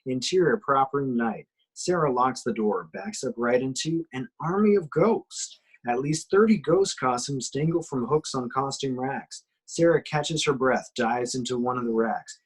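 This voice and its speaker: A man's voice: mid-range voice, husky, poor audio. A man reading scripted action descriptions from a screenplay at an amateur table read.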